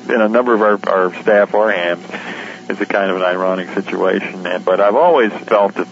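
A man with a low voice gives an informal interview on a dull, lo-fi recording. He is a guest who talks in a candid, conversational way, using colloquial phrasing. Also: fairly quick, creaky, a little hiss or hum. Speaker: editor and publisher, interview guest.

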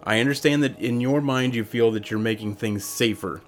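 A man's voice: mid-range voice, normal speed, faint music behind. A man hosting a podcast, talking casually and ranting with strong opinions. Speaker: podcast host.

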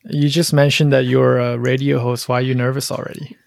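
Gravelly-voiced podcast host man. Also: mid-range voice, level tone, no room sound, studio quality. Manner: easygoing, laughs along, quick asides. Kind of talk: interviewing a guest.

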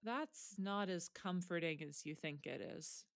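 An actress playing a stressed, deadpan high school girl with an exasperated manner in an audio drama. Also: a little slow, no echo, studio quality. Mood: dejected. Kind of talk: naturalistic audio drama dialogue.

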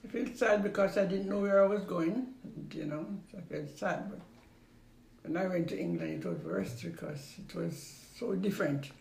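Older Jamaican woman with a gravelly voice. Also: fairly low pitch, big pitch swings, a little mellow. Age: 80s. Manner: unhurried, repeats phrases, trails off. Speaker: interviewee. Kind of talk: casual recollection. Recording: roomy recording with faint background noise.

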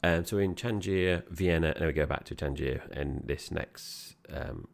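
A man's voice: very deep voice, a little slow, vocal fry. A man co-hosting a podcast, chatting casually as he reviews a film, meandering with fillers and restarts. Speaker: podcast co-host.

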